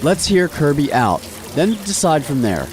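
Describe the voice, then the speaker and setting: A man playing a steady, protective neighbor who takes charge in a radio drama, firm and level-headed. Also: fairly high pitch, moderate pace, slight background noise. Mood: calm, pointed.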